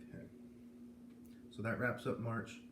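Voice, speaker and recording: Male read-aloud host, mid-pitched, narrating a children's novel; roomy sound with slight background noise. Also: little pitch movement, a little slow.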